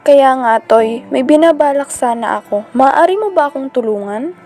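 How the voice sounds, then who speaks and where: High-pitched grieving aunt played by a woman. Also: expressive, normal speed, darkish tone, soft background music. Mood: earnest. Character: grieving aunt seeking revenge for her niece. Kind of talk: amateur student radio drama acting.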